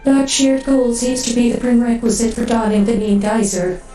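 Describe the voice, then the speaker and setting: An actress playing a calm, secretive female android companion in a sci-fi game, speaking in a measured way. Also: a little high, roomy sound, recorded from a distance, over music, lightly acted, rough recording. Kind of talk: measured game cutscene acting.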